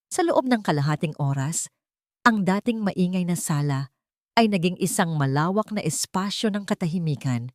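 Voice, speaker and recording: A woman with a lowish voice narrates a scripted drama. Her phrasing is measured and dramatic, with deliberate pauses. The sound is close and dry, without room echo. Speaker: storyteller, narrator.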